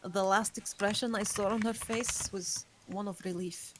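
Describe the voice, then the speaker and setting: A woman's voice: mid-range voice, fairly even intonation, moderate pace, noisy background, lightly acted. A woman reading the part of an ageless female vampire, guarded and remorseful, in a table read. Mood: somber.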